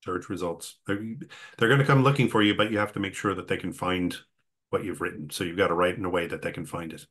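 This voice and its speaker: Low-pitched man hosting a workshop. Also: fast, fairly bright tone. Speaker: host. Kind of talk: conversational online workshop hosting.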